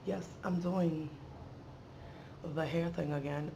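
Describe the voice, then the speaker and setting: Playful woman vlogger with a creaky voice. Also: deep voice, steady tone, slow, warm tone, slight background noise. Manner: playful, slangy, rambling with asides. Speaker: beauty vlogger and channel host. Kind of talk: casual chatty unboxing vlog.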